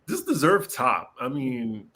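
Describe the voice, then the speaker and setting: A man in his thirties on a chat panel, reacting with animated, gushing opinions. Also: medium pitch, slow. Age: 30s.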